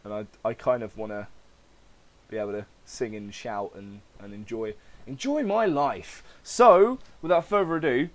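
A man's voice: mid-range voice, animated, moderate pace. A man hosting a podcast, chatting casually and reading aloud in an informal, hesitant manner, his throat slightly crackly. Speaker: podcast host.

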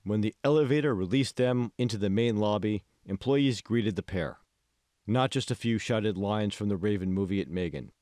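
A man's voice: lowish voice, moderate pace, darkish tone, no echo, clean studio sound. A man reading scripted audiobook narration as the author of the book.

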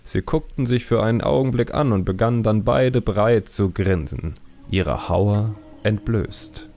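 A man reading a fantasy audiobook as narrator in a measured, deliberate, dramatic storytelling style. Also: lowish voice, gravelly, darkish tone.

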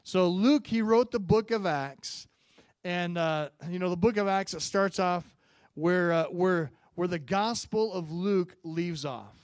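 A man in his forties preaching a Sunday sermon on a handheld microphone, chatty and full of fillers, rising loud on key points and dropping low and soft in prayer. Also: a little slow, no echo. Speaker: pastor. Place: church stage, medium-sized room. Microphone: handheld mic.

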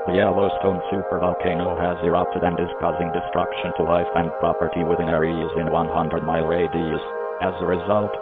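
A man reading out a scripted emergency warning as an alert announcer. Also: sits low. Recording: tinny phone-like radio, loud music behind.

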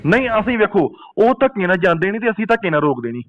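A dull, lo-fi call recording carries a man. He speaks high and quickly, pressing religious arguments like a preacher and driving them home with insistent rhetorical questions. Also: sing-song. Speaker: Sikh preacher taking a supporter's phone call.

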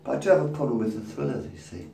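A man's voice: mid-range voice, very fast, vocal fry, far from the mic. A man in his fifties rambling to camera in a casual monologue, swearing and laughing at his own jokes, filmed on a phone at arm's length in a small room. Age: 50s. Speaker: vlogger. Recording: roomy echo, from the mic, faint background noise.

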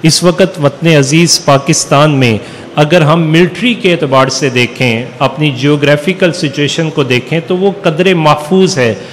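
A middle-aged Pakistani man, a religious scholar, giving a formal, somewhat halting convention speech into podium microphones. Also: a little high. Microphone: podium microphones through a PA. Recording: reverberant PA hall with background noise.

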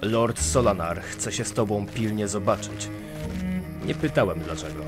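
A man playing a sombre, matter-of-fact elven soldier in a fantasy audio drama, speaking in a grave, measured way. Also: on the low side, loud music behind the voice, close to an everyday voice. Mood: resigned.

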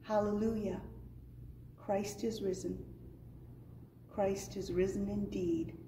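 Young woman reading a candle liturgy slowly. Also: mid-range voice, fairly even intonation, gravelly. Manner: slow, deliberate. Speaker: candle lighter. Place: living room. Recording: roomy, distant sound with faint noise.

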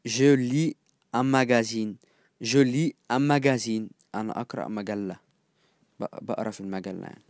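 Egyptian guy teaching phrases, says each one twice slow then explains it quick, dry close mic. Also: medium pitch, a little mellow, no room sound. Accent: Egyptian Arabic. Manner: repeats each phrase slowly, then glosses it in quick colloquial asides. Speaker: language teacher. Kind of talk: phrase-by-phrase language lesson.

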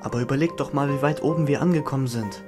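A woman's voice: a bass voice, fast, music in the background. A woman playing a matter-of-fact, skeptical adventurer who reasons dryly in a fantasy audio drama.

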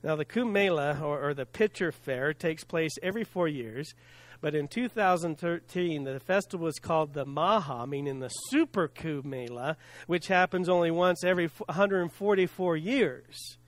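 A middle-aged man preaching a sermon from a church lectern, earnest and unhurried, dropping softly at the ends of phrases and laughing at words he stumbles over. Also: a little high, no echo. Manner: earnest, preaching from a lectern with pauses and soft drops; self-deprecating laugh at hard words. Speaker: pastor. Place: church sanctuary with stage and screen. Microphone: stand mic at lectern, filmed from the back.

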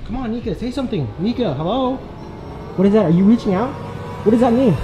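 A man's voice: high-pitched, fast talker, over music. A man, vlogging in a frantic, repetitive way on a handheld camera inside a house. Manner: frantic, repeats himself in excited bursts. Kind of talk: staged horror vlog, reacting on camera. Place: inside a house, moving about. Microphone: handheld camera close by.

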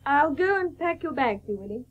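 An actress in a radio play playing a fond, brisk, genteel Victorian wife and mother. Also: high-pitched, lively intonation, narrow, tinny audio, slight background noise. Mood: lively.

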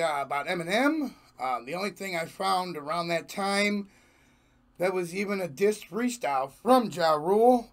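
A young man hosting a reaction video in casual slang, his voice hoarse, talking at a small home studio desk mic. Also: sits high. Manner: slangy, laid-back, laughs often. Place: small home studio room with jerseys on wall. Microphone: large desk microphone in front.

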